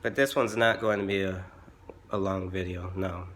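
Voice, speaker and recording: A hoarse young man who has been sick, talking haltingly with many fillers and restarts in a casual vlog from home. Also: low-pitched, steady tone, a bit bright, slight background noise. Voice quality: rough-voiced. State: hoarse from illness. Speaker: vlogger.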